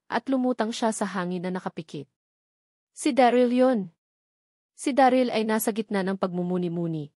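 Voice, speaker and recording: A woman's voice: medium pitch, darkish tone, dry recording, clean studio sound. A woman reading scripted fantasy novel narration as an audiobook narrator.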